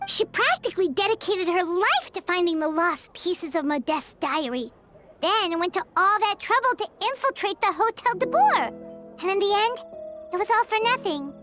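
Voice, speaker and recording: A woman voicing a small, naive, excitable fairy companion in a video game, very expressive. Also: very high voice, moderate pace, music in the background. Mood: disappointed. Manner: refers to self in third person, expressive. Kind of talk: video game character dialogue.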